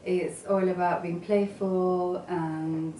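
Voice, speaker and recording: Soft-spoken woman designer in an echoey room. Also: lowish voice, steady tone, takes it slowly. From England and Venezuela. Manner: hesitant, with many fillers and restarts. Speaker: guest, graphic designer. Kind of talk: casual interview answers. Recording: echoey room, mic at a distance, faint noise.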